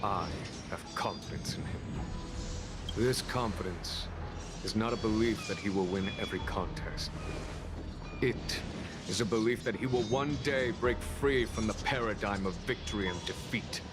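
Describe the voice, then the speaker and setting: Male actor as steadfast general and mentor, low-pitched, measured, assured, dramatic game dialogue, loud score. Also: natural voice. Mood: solemn, assured. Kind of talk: dramatic video game voice acting. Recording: loud music under the voice.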